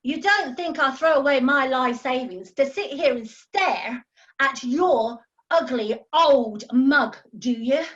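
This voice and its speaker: A woman's voice: high voice, slow, fairly bright tone, highs cut off. A woman playing a haughty, tart, washed-up singer in a comedy radio play. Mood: indignant.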